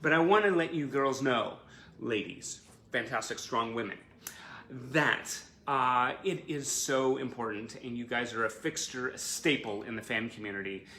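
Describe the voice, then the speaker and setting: A man's voice: mid-range voice, normal speed, sharp tone. A man hosting a casual livestream for fans, speaking in an effusive, gushing and encouraging way. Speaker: host of a fan livestream show. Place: indoor room, live online stream. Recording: roomy echo, faint background noise.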